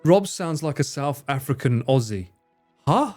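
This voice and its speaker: A man's voice: medium pitch, big pitch swings, a little slow, darkish tone, faint music behind. A man streaming live, chatting casually with viewers in a joking, theatrical way and swearing freely. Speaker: streamer and cameraman.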